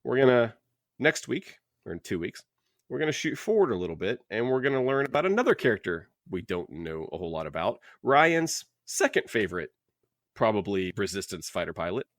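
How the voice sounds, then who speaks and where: Low-voiced man chatting, close and dry. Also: big pitch swings, dry recording. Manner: rambling, halting, thinking aloud. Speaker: podcast host. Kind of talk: casual book club podcast chat.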